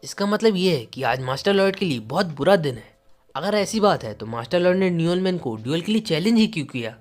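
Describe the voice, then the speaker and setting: A man's voice: a little high, sing-song, brisk. A man voicing a gossiping, incredulous onlooker with a mocking manner in a manga story. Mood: concerned.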